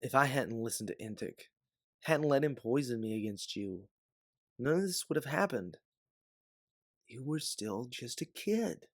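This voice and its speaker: A man's voice: medium pitch, lively intonation, unhurried, pauses often, dry recording, clean studio sound, voice pushed up. A man voicing a guilt-ridden, hardened former bounty hunter in a sci-fi story, blunt and emphatic. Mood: remorseful.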